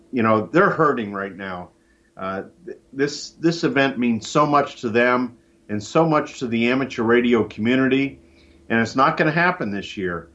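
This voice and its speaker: A man's voice: medium pitch, a bit bright. A man from the United States, a guest on a live show, explaining things conversationally with promotional enthusiasm. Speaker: guest, contest organiser. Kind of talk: conversational live interview by call-in. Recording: dull, band-limited call-in line.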